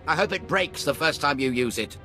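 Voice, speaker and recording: A man's voice: on the high side, lively intonation, brisk, lightly acted. An actor playing a bitter, indignant Imperial scientist in a video game, haughty and dramatic in his accusations. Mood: bitter resignation. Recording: music under the voice.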